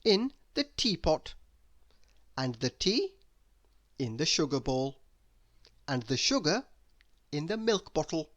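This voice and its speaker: A woman reading a children's story aloud as an expressive narrator. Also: sits low, slow, pauses often. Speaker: story reader.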